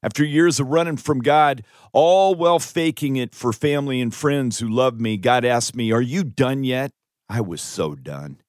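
A man's voice: medium pitch, normal speed, dry recording, clean studio sound. A man reading a scripted first-person audiobook testimony with earnest, dramatic emphasis. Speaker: author reading own audiobook.

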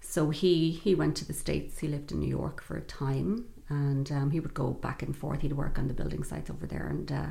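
Low gravelly Dublin woman. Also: steady tone, moderate pace, few pauses, warm tone. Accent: Dublin Irish. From Ireland, Dublin north side. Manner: reflective, frequent fillers, laughs while telling stories. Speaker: guest. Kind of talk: conversational interview storytelling.

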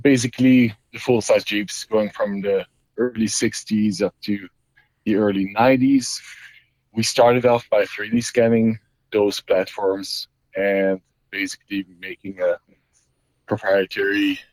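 A man's voice: on the low side, little pitch movement, a little slow, a little mellow, no room sound, poor audio. A middle-aged man from Belgium, a guest explaining his custom Jeep builds in casual talk, with many fillers and restarts.

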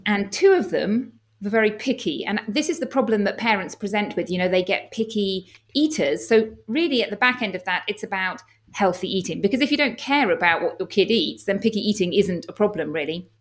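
A middle-aged English woman being interviewed on a podcast. She has a clear English accent and answers in long, articulate stretches. Her delivery is upbeat and even. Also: mid-range voice, a little fast. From England. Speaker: guest, former pediatric doctor.

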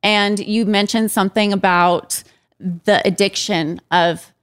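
A woman guest talks slowly and haltingly, filling the gaps with soft ums. Her voice is smooth and clean. The recording is dry and close, with no room sound. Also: mid-range voice, steady tone, no echo. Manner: hesitant, many pauses and ums. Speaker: interview guest. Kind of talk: candid personal interview.

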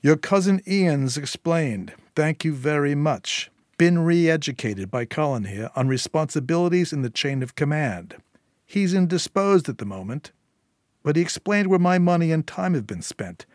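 A man's voice: a little high, lively intonation, moderate pace, dry recording, clean studio sound, voice pushed up, lightly acted. A man voicing a suave crime boss who is coldly polite and threatening. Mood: menacing. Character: suave menacing male London crime boss.

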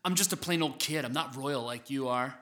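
A woman voicing a plucky, naive boy hero in an earnest, excitable way for a children's comic story. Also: a bass voice, fairly quick. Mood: shy, earnest.

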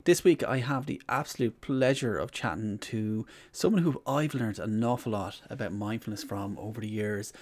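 Effusive podcast host, dry sound. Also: male voice, lowish voice, normal speed, hardly any pauses, no echo. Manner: warm, effusive, enthusiastic welcomes. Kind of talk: podcast introduction and interview.

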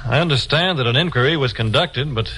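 Actor as a bright-voiced friendly railroad man. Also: medium pitch, fairly quick, natural voice, low-quality recording. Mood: explaining. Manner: folksy, helpful. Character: friendly, easygoing male railroad signal maintainer. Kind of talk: 1950s radio drama acting.

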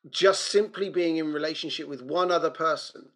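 A man's voice: on the high side, normal speed, a bit bright. A man in a casual religious discussion, speaking in a reflective, tentative way as he works through ideas.

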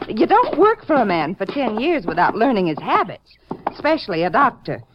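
Muffled vintage radio sound frames an anxious, devoted small-town woman. Her American voice sits fairly high and rises and falls dramatically, nervous and insistent. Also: big pitch swings, normal speed. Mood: worried, insistent. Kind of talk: old-time radio drama acting. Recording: muffled, hissy 1950 radio recording.

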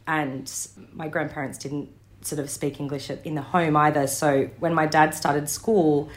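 Low-voiced Australian woman, reflective. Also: little pitch movement, measured pace. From Western Sydney, Australia. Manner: thoughtful, many ums and restarts. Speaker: interview guest, director and actor. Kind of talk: reflective conversational storytelling.

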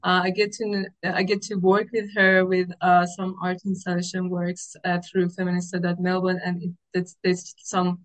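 A woman's voice: fairly low pitch, completely flat delivery, normal speed, few pauses, poor audio. Female podcast guest, Iranian accent. Informal, effusive, conversational delivery. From Iran. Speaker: podcast guest, activist artist. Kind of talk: casual conversational interview.

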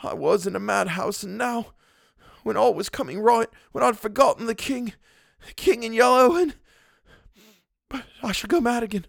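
A man voicing a frantic, broken man who begs for mercy in a high, wailing voice. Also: steady tone, moderate pace, few pauses, no room sound, studio quality. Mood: terrified pleading. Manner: wailing, pleading, near hysterical.

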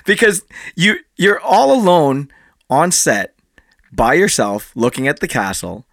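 Man, host, high animated voice, gravelly edge, dry close sound. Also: measured pace, dry recording. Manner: enthusiastic, reacts with disbelief. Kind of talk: interview.

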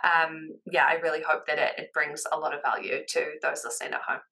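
A woman's voice: deep voice, flat delivery, quick pace, creaky, sharp tone, rough recording. A woman with a New Zealand accent, a confidence coach chatting as a podcast guest in an upbeat, encouraging way. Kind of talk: casual podcast interview.

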